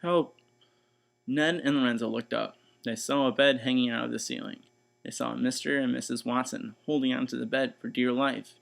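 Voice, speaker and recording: A man reading a children's story aloud in expressive, scripted narration. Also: medium pitch, fairly quick, vocal fry.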